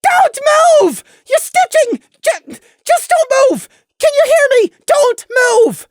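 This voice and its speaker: A woman voicing a frantic, furious male pony who shouts shrilly with a raw, strained throat. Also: very high voice, big pitch swings, slow pace, no echo, studio quality, pitched above the performer's own voice, full character voice. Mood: frantic shouting.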